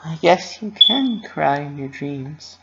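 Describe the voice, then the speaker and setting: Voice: mid-range voice, animated, slow pace, highs cut off, heavy background noise, pitched above the performer's own voice. A narrator voicing a bubbly young female cartoon pony whose forced cheer covers a fragile sadness, in a dramatic story reading. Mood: weary, sad. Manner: forced cheer, squealing, emotional.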